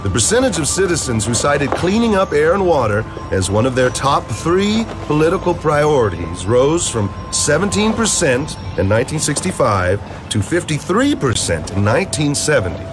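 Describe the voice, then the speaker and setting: An earnest middle-aged senator, acted by a man, speaks with emphatic, declamatory resolve. His pitch sits a little high and moves in lively sweeps. Clear music plays behind this comic-book drama. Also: moderate pace, few pauses. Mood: determined, earnest. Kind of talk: scripted comic-book dramatization, oratorical narration.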